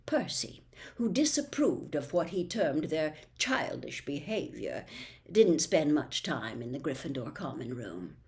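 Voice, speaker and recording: A woman reading a storybook aloud as narrator, in an expressive storytelling style. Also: sits low, measured pace. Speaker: audiobook reader.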